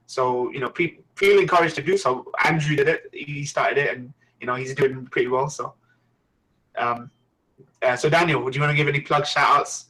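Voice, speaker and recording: A man hosting a casual anime podcast, at a middling pitch. He talks in a relaxed, encouraging way and hands the floor to each guest in turn. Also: moderate pace, poor audio. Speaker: podcast host.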